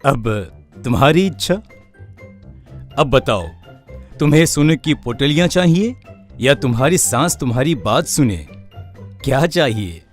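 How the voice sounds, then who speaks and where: A man's voice: medium pitch, very animated, faint music behind. A man playing a sly, greedy fake swami in a cartoon moral story, speaking in grand, theatrical pronouncements. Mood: grand, solemn. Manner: slow, theatrical, pompous pronouncements.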